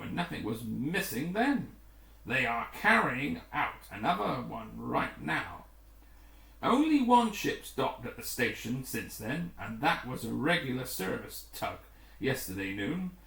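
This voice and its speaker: A man's voice: medium pitch, sing-song, measured pace, creaky, fairly bright tone, heavily acted. A man reading the part of an earnest rescue crew technician in a storybook, reporting in a matter-of-fact way. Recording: roomy, echoing room.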